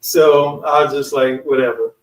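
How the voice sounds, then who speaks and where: An American man telling personal stories casually, with an informal, slangy and halting delivery. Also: medium pitch, steady tone. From United States. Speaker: online speaker and channel host. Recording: echoey room, distant from mic.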